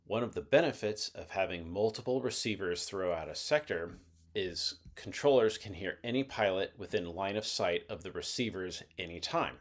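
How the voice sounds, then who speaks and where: Male explainer narrator, lower register, measured and methodical scripted read. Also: animated.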